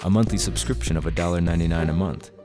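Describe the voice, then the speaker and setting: A man with a low voice narrates as the creator of an audio drama. The delivery is a scripted podcast outro with credits, read over clearly audible music. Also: rapid-fire. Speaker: show writer and creator.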